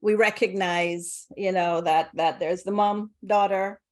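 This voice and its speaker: A woman's voice: medium pitch, steady tone, a little slow, a little mellow. A middle-aged woman with a Jamaican accent, speaking as a co-founder in a casual interview, laughing often and restarting her sentences. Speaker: co-founder and guest.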